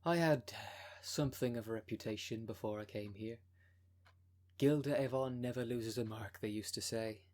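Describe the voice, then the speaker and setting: A man playing a smooth-talking, con-artist female minister of a demon cult in an ASMR roleplay, with a polished, unctuous sales manner. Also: mid-range voice, moderate pace, darkish tone, natural voice. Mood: self-satisfied. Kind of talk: ASMR roleplay audio drama.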